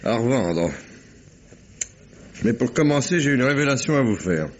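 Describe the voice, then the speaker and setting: An actor playing a shrewd, talkative police commissioner with a probing, familiar, ironic manner in a French radio mystery. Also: male voice, mid-range voice, lively intonation, unhurried, close to an everyday voice. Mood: probing, methodical. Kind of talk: French 1950s-style radio mystery acting. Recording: muffled, noisy old radio recording.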